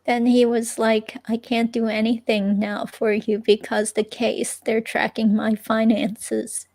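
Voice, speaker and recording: A woman reading the part of an evasive, unsure ex-girlfriend in a police interview, with a creaky, hesitant, rambling delivery. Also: on the high side, little pitch movement, unhurried, darkish tone. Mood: defensive, casual. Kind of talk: dramatic reading of an interview transcript, conversational.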